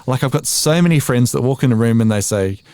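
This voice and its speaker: A man's voice: medium pitch, expressive, fast talker, a little mellow, smooth, no echo. A man in his forties with an Australian accent chats easily as a podcast guest. He pokes fun at himself, often stumbles and restarts, and laughs along the way. The recording is dry and close, with no room sound. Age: 40s. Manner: chatty, self-deprecating, frequent restarts and laughs. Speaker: voiceover artist, podcast guest. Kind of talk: casual interview chat.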